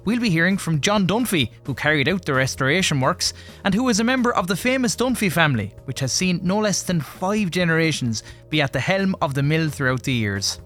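A man's voice: fairly high pitch, expressive, a little fast, a bit bright, faint music behind. A man with an Irish accent presenting a radio programme, reading a scripted introduction as host.

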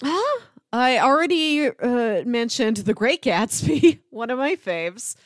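A woman's voice: on the high side, sing-song, slow pace, no room sound, studio quality. A woman co-hosting a podcast, talking in a casual movie review chat. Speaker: podcast co-host.

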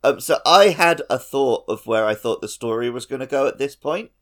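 A man's voice: mid-range voice, animated, moderate pace. A man co-hosting a podcast, chatting casually about a video game with wry, joking asides. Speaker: podcast co-host.

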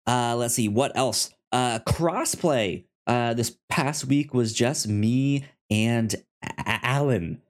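A man's voice: mid-range voice, slow pace. A man hosting a podcast in a casual, chatty style, often tripping over his words. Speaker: podcast host.

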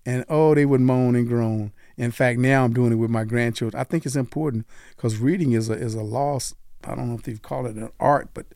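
Older man, radio host, mellow dark voice, relaxed chatty delivery, dry studio sound. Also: mid-range voice, brisk, no echo. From Las Vegas. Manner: relaxed, chatty, laughs easily. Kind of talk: casual radio interview.

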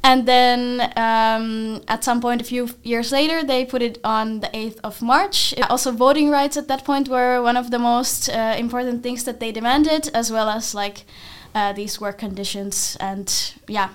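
A woman's voice: a little high, fairly even intonation, a little slow, hardly any pauses, clear, resonant tone. A young woman from Finland talking informally as a student guest in a podcast discussion. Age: young adult.

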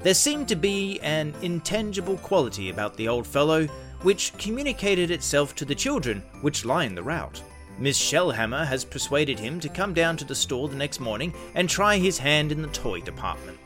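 Male narrator, higher-pitched and lively, old-time radio style over light music. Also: moderate pace.

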